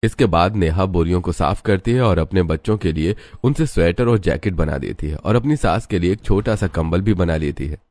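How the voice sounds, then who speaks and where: A man's voice: low-pitched, expressive, a little fast, few pauses, darkish tone. A man reading scripted narration for a moral story.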